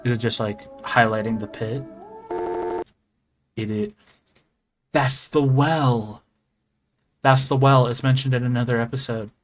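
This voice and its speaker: A man giving casual reaction commentary in a halting way, often repeating himself and mildly swearing. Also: medium pitch, slight background noise. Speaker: reactor, video creator.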